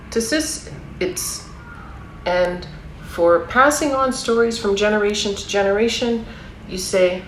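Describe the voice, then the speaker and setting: A woman's voice: medium pitch, fairly bright tone. Woman reading to children in an echoey room. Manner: encouraging, explains and asks questions to young listeners. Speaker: storyteller reading a children's picture book aloud. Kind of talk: read-aloud for young children with chatty asides. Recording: roomy echo, clear background noise.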